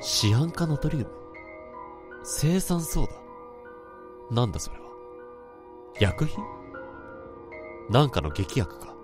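A man's voice: mid-range voice, very animated, slow pace, long pauses, over music. A man playing a troubled office worker who narrates his own story in the first person, in a brooding and anxious way. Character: troubled young male office worker, introspective. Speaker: narrator and lead.

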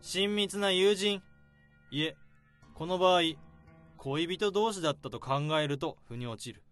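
A man playing a young office worker who calmly unravels a crime in a radio drama. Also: high-pitched, faint music behind. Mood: accusing. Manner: calm, probing, deliberate.

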